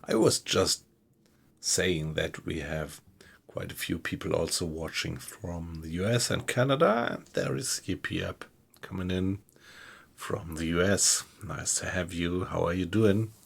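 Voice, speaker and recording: A man with a German accent, chatting with viewers while he plays a submarine game, in a slow, hesitant way. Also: low voice, creaky, slightly rough. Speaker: game streamer. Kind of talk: casual live-stream commentary. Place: home room at night.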